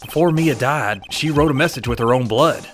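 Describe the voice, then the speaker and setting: A man's voice: medium pitch, a little fast, lightly acted. A man playing an earnest, jumpy young defense attorney in a dramatic game fandub. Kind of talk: dramatic game fandub, inner monologue and dialogue. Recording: music under the voice. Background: game music.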